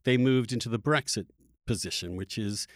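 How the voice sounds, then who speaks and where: A man's voice: on the low side, moderate pace, dry recording, clean studio sound. A man from England hosting a conversational political discussion, speaking in a reflective, personal way and often repeating words as he works out a thought. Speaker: podcast host.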